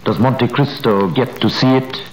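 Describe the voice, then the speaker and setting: An actor in a 1938 radio drama playing a worried, impatient corrupt official, on an old broadcast recording. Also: male voice, mid-range voice, steady tone, unhurried, roomy sound, slight background noise, natural voice, rough recording. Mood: anxious. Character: anxious corrupt male official. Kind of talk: 1930s radio acting.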